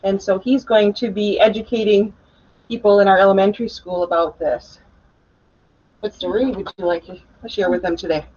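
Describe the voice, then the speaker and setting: Female teacher, informal and encouraging, average pitch, muffled video-call sound. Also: steady tone, normal speed, a little mellow. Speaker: special education teacher presenting. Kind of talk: casual presentation on a video call. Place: indoor school room, on a video call. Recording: dull webcam video-call audio.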